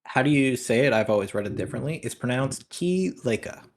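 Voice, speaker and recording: A man's voice: mid-range voice, expressive, a little fast, slight background noise. A man with a slight lisp, chatting casually as a guest on a live stream, his voice worn from a long day of talking. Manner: self-deprecating, swears casually.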